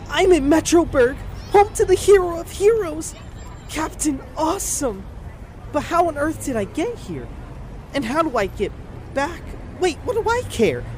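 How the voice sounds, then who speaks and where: An actor voicing an excitable, awestruck boy who talks aloud to himself in a scripted audio drama. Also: sits high, slight background noise. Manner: exclamatory, talking aloud to himself. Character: excitable, awestruck boy, comic book fan.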